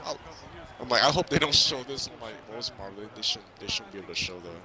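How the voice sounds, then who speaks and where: A man casually chatting through a movie review, haltingly, often repeating and restarting his phrases. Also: lowish voice, animated. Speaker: video host. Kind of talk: casual chat, movie review over a video game. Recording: basketball game crowd and bouncing behind. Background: basketball video game crowd and ball bounces.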